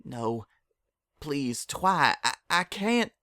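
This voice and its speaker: A man's voice: a little high, very animated, extremely slow, pushed and bright, dry recording, studio quality, pitched above the performer's own voice, heavily acted. A man voicing a distressed, tearful young country mare in a story reading. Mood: panicked denial.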